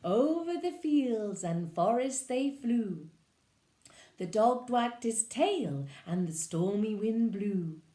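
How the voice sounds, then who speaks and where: Brief: storyteller woman, high and lively, unhurried, echoey room. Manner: animated, lively expression. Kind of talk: reading a children's picture book aloud.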